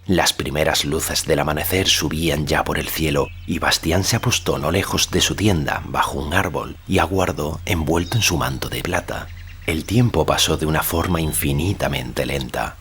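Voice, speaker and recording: A man narrating a literary audiobook in a measured, solemn storytelling manner. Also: very deep voice, a bit bright, a little hiss or hum. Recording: faint music behind the voice.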